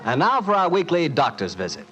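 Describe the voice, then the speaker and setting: A man's voice: a little high, wildly swooping pitch, fairly quick, over loud music. A man with an American accent announcing in a folksy, salesmanlike 1940s radio style.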